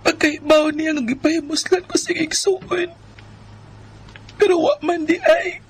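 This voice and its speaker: A woman's voice: sits high, fairly even intonation, unhurried, a little hiss or hum. A woman playing a wounded, bitter young woman in a radio drama, speaking in a plaintive, emotional way over music. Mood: bitter, tearful. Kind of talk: melodramatic radio drama acting. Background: faint music.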